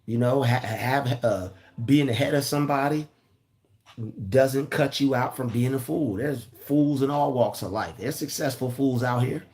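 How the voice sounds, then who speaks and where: A man with a raspy voice giving a casual, preachy motivational talk, repeating his points. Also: mid-range voice, a little slow, darkish tone. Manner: repeats phrases, preachy and earnest. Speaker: motivational vlogger.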